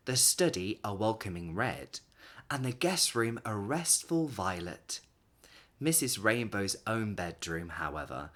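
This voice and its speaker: A man's voice: fairly low pitch, fairly bright tone. A man, reading a picture book aloud expressively for young children. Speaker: children's storyteller and host.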